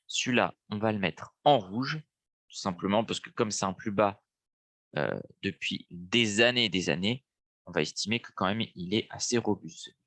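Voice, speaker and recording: A man's voice: lowish voice, normal speed, fairly bright tone, no room sound. A young man with a stuffy nose from a cold, casually walking viewers through a live trading lesson with lots of fillers. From France. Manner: casual, thinking aloud with frequent fillers. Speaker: trading webinar host.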